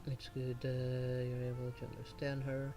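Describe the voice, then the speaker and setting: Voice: mid-range voice, completely flat delivery, moderate pace, music in the background. A game streamer giving casual commentary and hesitantly reading dialogue choices aloud, half muttering.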